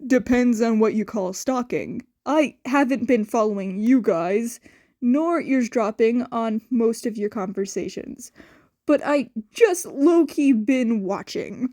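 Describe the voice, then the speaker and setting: Woman reading as a sardonic young man, unhurried. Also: on the high side, dark tone, no echo, clean studio sound, close to an everyday voice. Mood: casual, evasive. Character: sardonic, evasive young man snooping around.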